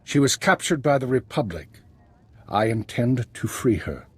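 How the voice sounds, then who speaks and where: Very husky retired spymaster. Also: male voice, medium pitch, sing-song, heavily acted. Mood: grave. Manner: measured, formal, understated. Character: retired male spymaster, composed, dry, calculating. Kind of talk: video game voice acting.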